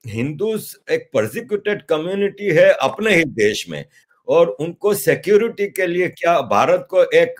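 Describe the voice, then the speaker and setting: Male interviewer, middle-aged, slightly high voice with animated pitch, deliberate questioning on a video call. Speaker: interviewer, host. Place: indoor room at home. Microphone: video call device.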